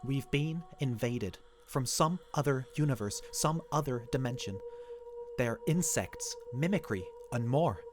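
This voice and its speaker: A man's voice: medium pitch, lively intonation, a little slow, background noise, voice pushed up, close to an everyday voice. A man playing a paranoid, hunted husband. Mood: urgent. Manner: urgent, clipped commands.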